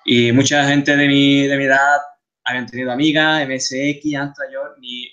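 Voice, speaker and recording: A man in his thirties with an Andalusian Spanish accent, giving a relaxed, chatty talk over slides, with frequent restarts and fillers. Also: medium pitch, moderate pace, highs cut off. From Seville, Spain. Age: 30s. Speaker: guest speaker, game artist.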